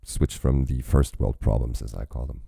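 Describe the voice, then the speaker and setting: Very deep, gravelly-voiced man musing aloud. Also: fairly even intonation, normal speed, mellow, dry recording, clean studio sound. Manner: musing, rambling aloud with frequent restarts. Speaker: music reaction host. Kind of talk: casual reaction commentary.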